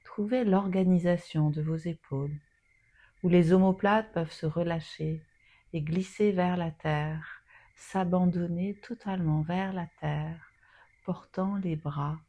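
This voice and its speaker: A woman leading a guided yoga nidra meditation in a soothing, soft manner with long pauses. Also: lowish voice, steady tone.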